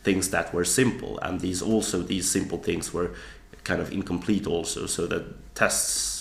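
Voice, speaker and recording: Low gravelly man in an echoey room. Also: fairly even intonation, unhurried. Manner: thoughtful, with frequent restarts and fillers. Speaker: guest author. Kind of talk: podcast interview.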